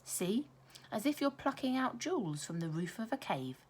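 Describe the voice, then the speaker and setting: A woman reads as a gentle mum in a storybook. She is patient and instructive, lifting her voice a little as she shows her child how. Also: on the high side, very animated, normal speed, slightly rough, pitched above the performer's own voice. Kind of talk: storybook character dialogue.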